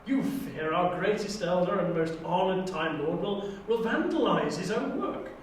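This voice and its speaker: A man's voice: sits high, lively intonation, normal speed, pitched above the performer's own voice. Man acting a pompous Time Lord orator, echoing room. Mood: scornful. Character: grandiose, scornful male Time Lord councillor. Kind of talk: theatrical sci-fi audio drama audition. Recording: very echoey room, distant mic, faint noise.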